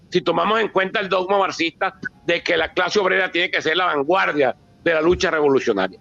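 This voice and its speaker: A man's voice: high voice, gravelly, pushed and bright, dry recording, highs cut off. A Venezuelan man giving an extemporaneous talk on history, emphatic and anecdotal, repeating phrases as he builds his account. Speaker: author and politician speaking at a book presentation.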